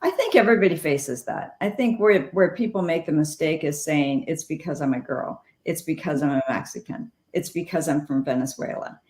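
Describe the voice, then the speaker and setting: Middle-aged woman guest, lively storyteller, echoey room. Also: low-pitched, fairly quick, few pauses. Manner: animated anecdotes with quoted dialogue. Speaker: guest, former jockey. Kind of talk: storytelling interview.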